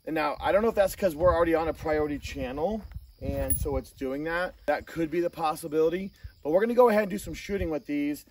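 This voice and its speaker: A man hosting a casual hands-on gear review, talking in a halting way with self-corrections. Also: on the high side. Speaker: host of a gear review channel. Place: outdoor shooting range. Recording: distant mic, outdoor noise, insect chirps.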